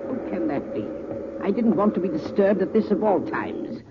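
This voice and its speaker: Imperious, high-pitched older merchant. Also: male voice, big pitch swings, moderate pace. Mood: irritated, suspicious. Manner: curt, formal, commanding. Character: stern, wealthy older gem merchant, imperious. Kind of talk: 1940s radio acting. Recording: muffled, faintly noisy 1940s radio recording.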